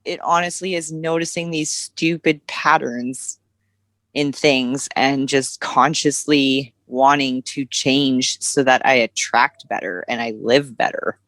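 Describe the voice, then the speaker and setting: A woman's voice: extremely low pitch, fairly even intonation, slow, a bit bright, no echo. A woman co-hosting a podcast, talking frankly and casually with lots of fillers. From Canada. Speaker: podcast co-host. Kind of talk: candid conversational chat.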